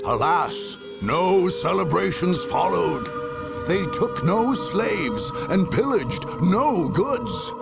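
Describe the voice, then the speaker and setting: An actor playing a grave, ominous chronicler of dark wars, narrating a video game cutscene theatrically and savoring the words. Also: male voice, high-pitched, big pitch swings, slow, gravelly, heavily acted. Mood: dark relish. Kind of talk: dramatic scripted game narration. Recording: music under the narration.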